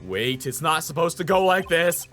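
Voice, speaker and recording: A young man reacts to a game while he plays, thinking aloud and getting excitable. His voice is bright and his pitch jumps around a lot. Quiet game music plays beneath him. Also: normal speed. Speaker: voice actor playing a game. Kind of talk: let's play reaction commentary.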